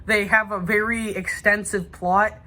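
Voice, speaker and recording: A man's voice: high voice, flat delivery, a little slow, pushed and bright. A young man, an eager fan, chatting informally and rambling through his ideas as a guest. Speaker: guest gamer. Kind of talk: casual chat.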